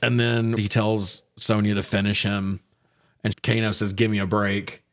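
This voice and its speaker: Male podcast co-host, lower register, casual and opinionated review chat, slangy and profane. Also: flat delivery, normal speed.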